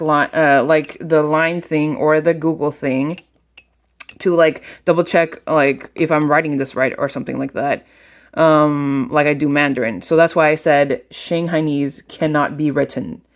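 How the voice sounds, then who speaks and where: A host from Colombia, a native Spanish speaker, talks straight to the viewers in a laid-back vlog. The voice is smooth and clear. The pace is slow and unhurried, and the talk rambles along. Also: medium pitch. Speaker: channel host. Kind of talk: casual explanatory vlog.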